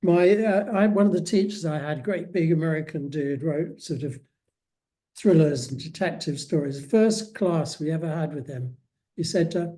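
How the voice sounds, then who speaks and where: A man speaking as an interviewed author, in a reflective, conversational way with measured, hesitant phrasing. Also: on the high side, dark tone.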